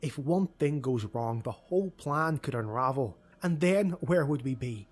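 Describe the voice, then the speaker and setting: A man's voice: medium pitch, expressive, unhurried, breathy edge. A man voicing a wary, sceptical female elf retainer who speaks bluntly and doubtfully in an audiobook.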